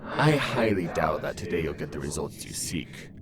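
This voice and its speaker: An actor playing a calm, proud skeleton scientist in a comic dub, speaking in a formal, measured, explanatory manner. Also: male voice, low voice, heavy background noise. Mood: polite, reserved. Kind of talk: fan comic dub voice acting.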